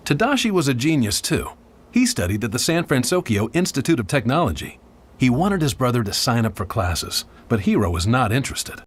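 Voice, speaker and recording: A man's voice: medium pitch, very animated, a little fast, slightly rough. A man reading a scripted children's read-along storybook as its narrator. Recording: music bed behind the voice. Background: background music.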